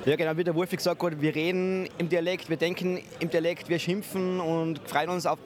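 A man's voice: fairly high pitch, little pitch movement, fairly quick. A man with a Pongau Austrian accent, a band musician speaking casually and emphatically in an interview. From Pongau, Salzburg, Austria. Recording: clear crowd chatter behind. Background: crowd.

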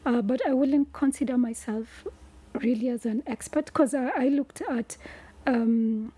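A middle-aged woman with a Kenyan accent, a researcher talking in a relaxed interview, thoughtful and repeating words as she thinks. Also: on the high side, a little slow, husky. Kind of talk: casual conversational interview.

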